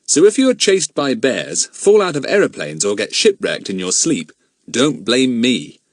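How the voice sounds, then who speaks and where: Man voicing a hearty, animated chap. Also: mid-range voice, normal speed, no echo, clean studio sound, voice pushed up. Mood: teasing. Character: hearty, teasing, fond grown-up male friend. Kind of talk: audiobook character voice.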